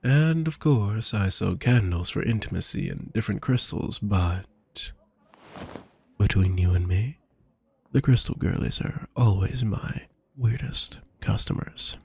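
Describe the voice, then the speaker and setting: Man playing a slow, husky, courtly vampire. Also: on the low side, lively intonation, exaggerated voice. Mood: wry, chatty. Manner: gentle, formal, flowery, teasing endearments. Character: courtly, doting, possessive male vampire shopkeeper. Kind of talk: intimate roleplay audio drama, addressing the listener.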